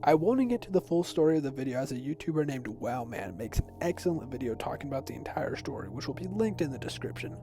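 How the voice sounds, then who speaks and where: A man's voice: mid-range voice, very animated, fast talker, few pauses. A young man narrating an explainer video essay in an informal, personal way. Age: teens to young adult. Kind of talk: semi-scripted explainer narration. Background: background music.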